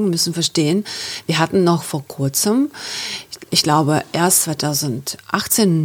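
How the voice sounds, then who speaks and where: Interview guest, woman in her 30s from Ukraine, fairly low voice, reflective and hesitant delivery. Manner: thoughtful, with frequent hesitations and restarts. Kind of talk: conversational interview.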